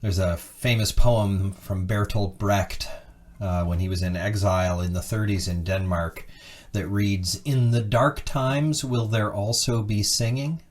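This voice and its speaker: A man's voice: on the low side, steady tone, measured pace. A man speaking as a minister to a congregation, earnest and encouraging as he leads worship. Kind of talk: worship service address introducing a hymn.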